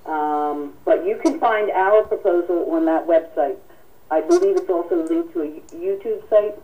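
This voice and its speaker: A woman hosting a telephone town hall as a union president, speaking formally and politely with halting delivery while taking callers. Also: mid-range voice, sing-song, narrow, tinny audio. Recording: distant radio-like telephone conference audio. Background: telephone conference call.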